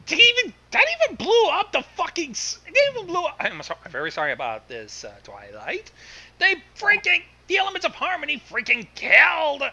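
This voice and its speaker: A man giving casual, blunt and excitable riffing commentary on a story. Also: very high voice, a little fast. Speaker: fan fiction commentator.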